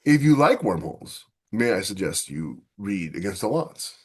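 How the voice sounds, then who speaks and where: A man's voice: lowish voice, sing-song, normal speed, darkish tone, breathy edge. A man co-hosting a casual science fiction podcast, talking with enthusiasm and acting out imagined quotes as he explains. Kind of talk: casual discussion of sci-fi technology.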